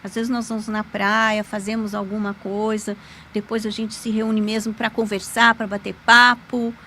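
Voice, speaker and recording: A woman, a podcast guest, telling a personal story in an animated, emphatic way with sudden pitch jumps. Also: normal speed, a little hiss or hum. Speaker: podcast guest, lawyer and magic teacher. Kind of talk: conversational storytelling.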